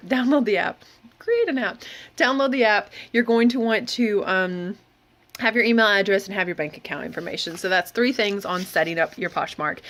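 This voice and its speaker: A woman's voice: medium pitch, a bit bright. A woman with a slightly nasal head cold, chatting casually as a reseller vlogger giving straight-to-the-point tips. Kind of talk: casual how-to vlog.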